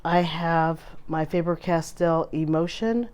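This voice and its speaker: A woman hosting a casual fountain pen vlog, her voice hoarse from a recent illness, chatty and full of filler ums as she shows her pens. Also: low voice, slow, a little mellow. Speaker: fountain pen channel host. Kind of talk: casual show-and-tell vlog.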